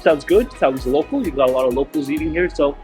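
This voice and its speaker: Hoarse, raspy-voiced man hosting a food vlog. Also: on the high side, lively intonation, fast, darkish tone, soft background music. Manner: enthusiastic, repeats words for emphasis. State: hoarse from feeling unwell. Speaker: food vlogger host. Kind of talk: casual travel vlog narration. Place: outdoors in a small-town plaza, then a tiny eatery.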